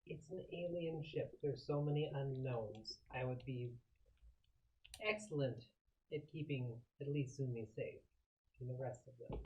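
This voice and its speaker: A woman's voice: very deep voice, unhurried, darkish tone, dull audio. A woman playing a tabletop role-playing game, talking in character as an android.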